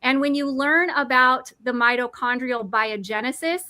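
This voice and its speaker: A woman's voice: high-pitched, moderate pace, bright, forceful tone, no room sound. A woman in her forties enthusiastically explaining mushroom supplements, eager and nerdy, often restarting words. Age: 40s. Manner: eager, nerdy, self-correcting. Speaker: product presenter.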